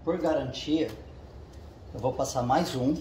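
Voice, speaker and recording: A man's voice: mid-range voice, unhurried, mellow, slight background noise. A man hosting a casual how-to video, explaining each step while he works with his hands and checking in with his viewers as he goes. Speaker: channel host. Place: home garage with the door open. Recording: echoey garage.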